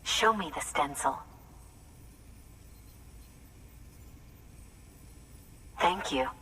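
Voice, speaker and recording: A woman's voice: sits low, lively intonation, moderate pace, bright, forceful tone, far from the mic, a little hiss or hum. A woman playing an eccentric, eager scientist with a theatrical, grandiose manner in a video game cutscene. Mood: eager, musing.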